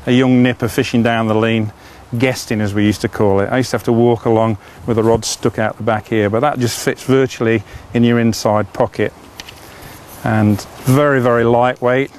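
A man's voice: medium pitch, fairly even intonation, normal speed, a little mellow, slight background noise. A middle-aged man, chatting enthusiastically as he demonstrates fishing rods, with frequent "uh" fillers. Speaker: fishing tackle presenter. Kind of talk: product demonstration.

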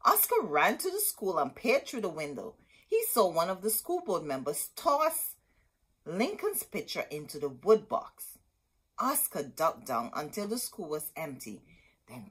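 A woman's voice: mid-range voice, wildly swooping pitch, unhurried, fairly bright tone, husky, rough recording. A middle-aged woman reading a children's picture book aloud in a dramatic, emphatic storytelling manner. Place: indoor room with bookshelves.

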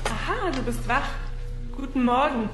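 Higher-pitched, bossy motherly woman, played by an actress. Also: expressive, measured pace, music in the background. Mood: brisk. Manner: decisive, commanding. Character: firm, motherly, bossy woman in fantasy world. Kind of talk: German fantasy audio drama acting.

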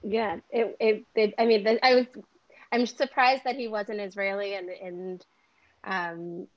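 A woman's voice: medium pitch, fairly even intonation, no room sound. Woman moderator on a muffled video call. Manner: friendly, slightly halting. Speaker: festival artistic director and moderator. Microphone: laptop webcam video call. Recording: dull lo-fi video call audio.

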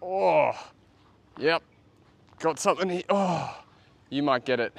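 High-voiced, excitable Australian man outdoors. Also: takes it slowly, gravelly. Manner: excitable, exclaiming. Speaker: host of a magnet fishing vlog. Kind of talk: casual outdoor vlog chat. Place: outdoors beside a canal. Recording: outdoor noise, traffic and ambience.

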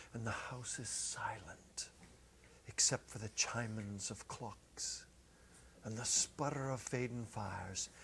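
Middle-aged male storyteller, unhurried and deliberate, dramatic pauses, faint noise on an old tape. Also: lowish voice, fairly bright tone. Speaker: story reader, teacher. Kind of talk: dramatic reading of a literary short story. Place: small indoor set. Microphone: lapel microphone. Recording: noisy old videotape recording.

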